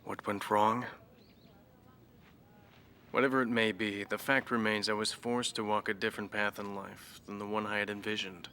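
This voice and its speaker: A man playing a proud, reflective former fighter ace turned professor, talking deliberately and solemnly as in a documentary interview. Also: fairly low pitch, little pitch movement, sharp tone, slight background noise. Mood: bitter, reflective.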